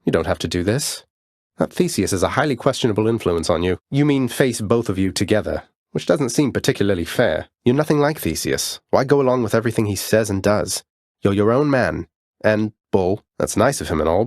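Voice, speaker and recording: Man acting as young underworld prince, video game hero, low voice, polite, wry, determined, dry studio sound. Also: dry recording, lightly acted. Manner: courteous, dry wit, often says sir. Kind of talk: scripted video game voice lines.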